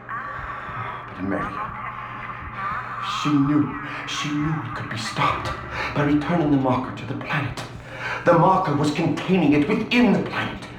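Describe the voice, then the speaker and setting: Echoey, frantic scientist. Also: male voice, fairly high pitch. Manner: stammering, repeats himself urgently. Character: frantic guilt-ridden scientist, pleading and unhinged. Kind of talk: dramatic video game acting. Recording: very echoey, music underneath.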